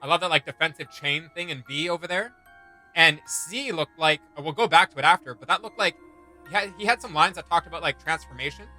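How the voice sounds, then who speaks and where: Bright, punchy man giving blunt reactions. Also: a little high, brisk, faint music behind. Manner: blunt, emphatic, teasing. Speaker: reaction video host. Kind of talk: casual opinionated reaction chat.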